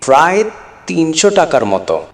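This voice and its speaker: A man's voice: fairly high pitch, sing-song, normal speed. A man acting the polite, studious young son of a poor farmer in a dramatised moral story. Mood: hesitant request. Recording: long echoing reverb.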